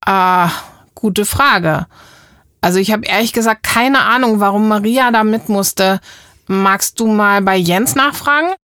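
A woman's voice: medium pitch, a little slow, fairly bright tone, no room sound, clean studio sound. A woman hosting a children's show, chatting casually with a child in a friendly, caring way. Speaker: host chatting with a child character.

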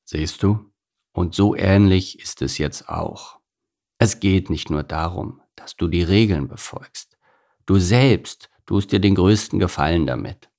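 A man voicing a calm, sensible male plough horse with a grumbly, patient manner in a storybook. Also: measured pace, creaky, exaggerated voice. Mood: reassuring. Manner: low grumbling, sighing, patient. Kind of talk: storybook character voice.

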